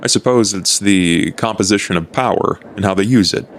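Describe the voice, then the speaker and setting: A man voicing a courteous, measured old vampire in a tabletop roleplay podcast, speaking formally and deliberately. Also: a little hiss or hum, close to an everyday voice. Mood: reflective, reserved. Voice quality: low, gravelly. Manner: formal, deliberate, understated phrasing.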